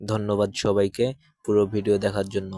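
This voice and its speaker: A man's voice: lowish voice, monotone, fairly quick, dark tone, dry recording, clean studio sound. A man speaking as a channel host and animation creator, making an informal announcement to viewers.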